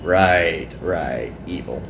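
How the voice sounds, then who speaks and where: A man's voice: extremely low pitch, very slow, reverberant, background noise, pitched below the performer's own voice, full character voice. A man reading the part of a weary, grumbling young male hero in an anime game.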